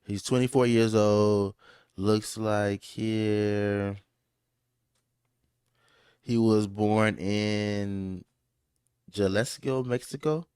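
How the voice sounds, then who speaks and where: A man's voice: lowish voice, fairly even intonation, extremely slow, pauses often, a little mellow, clean tone, dry recording, studio quality. A man co-hosting a casual podcast chat, rambling and searching for words as he goes. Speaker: podcast co-host.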